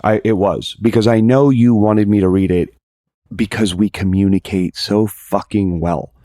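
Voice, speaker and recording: A man guesting on a podcast, talking casually and reflectively, often halting as he searches for his words. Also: fairly low pitch, steady tone, warm tone, dry recording, clean studio sound.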